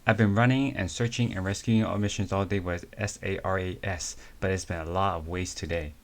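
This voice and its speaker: A man's voice: low-pitched, fairly even intonation, brisk. Man reading as a big friendly rescuer. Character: big friendly male rescuer, easygoing, says brother. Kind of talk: amateur dramatic comic reading.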